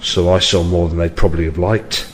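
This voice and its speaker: A man playing a brave, self-deprecating policeman in an audio drama, talking in a casual, halting way. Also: low-pitched, level tone, lightly acted. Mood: rattled.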